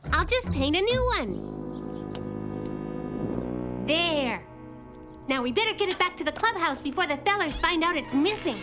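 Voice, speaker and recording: Smart little cartoon girl, very high, wry, lively, loud music behind. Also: normal speed, long pauses. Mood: scheming.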